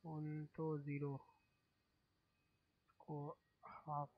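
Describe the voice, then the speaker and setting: A young man from India live-streaming a game, speaking softly and hoarsely with a sore throat in casual chat. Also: a little high, monotone, very slow, narrow, tinny audio. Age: young adult. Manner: speaks softly, mic-checking.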